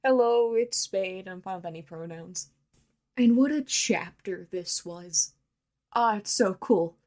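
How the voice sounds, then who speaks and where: A woman's voice: medium pitch, measured pace, a little mellow. A woman recording a casual listener message, giving fan commentary in short, punchy phrases. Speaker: listener sending in a voice submission.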